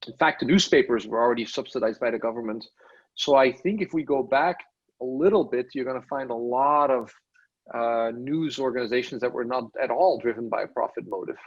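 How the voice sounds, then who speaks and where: Belgian-born tech guest, husky slightly high voice, dull lo-fi line, rambling reflective chat. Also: male voice, fairly quick. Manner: thinks aloud, frequent "right?" and restarts. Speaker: podcast guest, tech studio lead. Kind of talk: casual interview talk.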